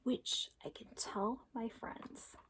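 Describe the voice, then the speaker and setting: A woman's voice: takes it slowly, a bit bright, in a whisper. A woman voicing a restless, dissatisfied little shape character in a children's storybook, grumbling and plaintive. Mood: pleased.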